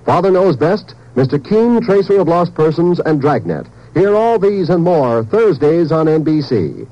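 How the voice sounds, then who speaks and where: A man's voice: a little high, sing-song, a little slow. An American man announcing a scripted 1950s radio promo in a polished, salesmanlike way. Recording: dull, lo-fi old-time radio recording.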